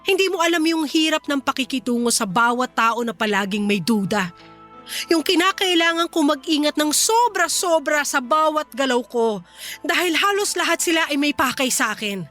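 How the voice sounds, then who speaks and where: A woman's voice: very high-pitched, a bit bright. A woman playing a wealthy, betrayed employer in a radio drama, stern, bitter and reproachful toward someone distrusted. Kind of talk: radio drama acting in Tagalog.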